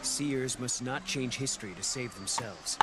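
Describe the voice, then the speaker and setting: An actor playing a serious, resolute young time traveler in a game cutscene. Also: male voice, medium pitch, a little slow, natural voice. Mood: solemn. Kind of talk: dramatic game cutscene voice acting. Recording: loud music under the voice.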